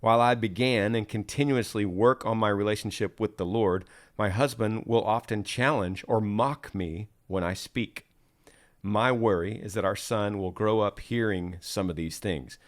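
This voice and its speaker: Male podcast host, fairly low voice, relaxed conversational delivery. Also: normal speed. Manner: relaxed, with soft filler sounds and restarts. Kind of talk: conversational podcast, reading listener questions.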